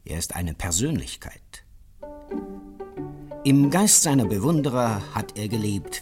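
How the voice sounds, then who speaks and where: A man delivering solemn literary radio narration in a lofty, declamatory manner. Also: on the low side. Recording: archive radio broadcast over music.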